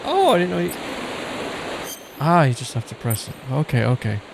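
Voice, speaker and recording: A man's voice: on the high side, wildly swooping pitch, normal speed, rough recording. A man giving casual commentary as he plays a video game. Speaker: gaming video host. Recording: clear hissy game ambience behind.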